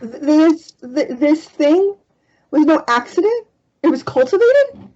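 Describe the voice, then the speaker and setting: Young female medic, woman reader: high, soft-toned, slow, hesitant, dull recording. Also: sing-song, heavily acted. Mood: puzzled, uneasy. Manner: halting, questioning. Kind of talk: amateur screenplay table read.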